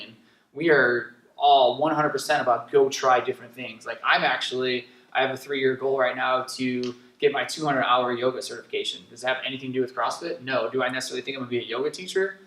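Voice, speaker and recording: An energetic young man talks quickly and jokily as a podcast guest. His voice sits a little off-mic and rings around the room. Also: mid-range voice. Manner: fast, upbeat, jokey talker. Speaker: fitness gym co-owner, podcast guest. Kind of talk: casual podcast chat. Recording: echoey room, distant mic.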